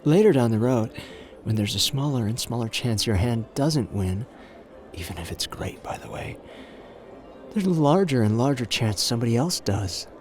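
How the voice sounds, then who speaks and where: A man's voice: mid-range voice, animated, a little mellow, a little hiss or hum, natural voice. A man playing a suave, flirtatious, confident gambler in an ASMR roleplay audio drama, teasing and intimate in the way he talks. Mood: flirtatious, hushed. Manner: teasing, intimate, drawn-out phrasing with pet names.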